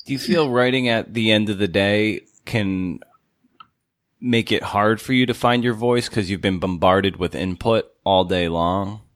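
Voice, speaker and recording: A man's voice: on the low side, fairly even intonation, a little slow, no echo, clean studio sound. A man interviewing a writer, rephrasing his questions as he goes.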